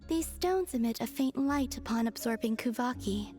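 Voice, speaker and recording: A woman voicing a serene, gentle young moon goddess in a video game, speaking in a soft, composed, unhurried manner. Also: a little high, lively intonation, faint music behind, natural voice. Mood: calm, gentle.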